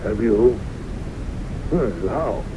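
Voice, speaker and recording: An actor playing a genteel, inquisitive club gentleman in an old-time radio drama, hemming and hesitant in his polite talk. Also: medium pitch, extremely slow. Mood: hesitant, curious. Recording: muffled, noisy 1970s radio recording.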